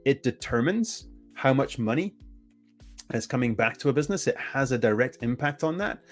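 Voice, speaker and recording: A man's voice: mid-range voice, moderate pace, soft background music. A man whose first language is English, giving a conference presentation with energy and often restarting his phrases. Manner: energetic, self-correcting, frequent restarts. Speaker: conference speaker.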